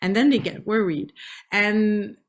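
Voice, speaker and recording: A woman's voice: mid-range voice, unhurried, poor audio. A woman from Canada with a French-Canadian accent, a native French speaker explaining casually as a health specialist guest, sometimes searching for words. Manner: hesitant, searching for words in second language. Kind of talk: casual explanatory interview talk.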